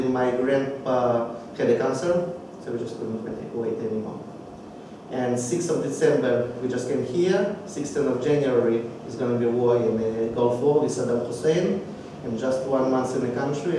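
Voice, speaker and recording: Man with a Russian accent, echoey room. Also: mid-range voice. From Belarus. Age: 30s. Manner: halting, searching for words in a second language. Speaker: speaker at a public speaking club. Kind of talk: personal life story speech. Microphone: distant microphone. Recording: echoey room from far off, background noise.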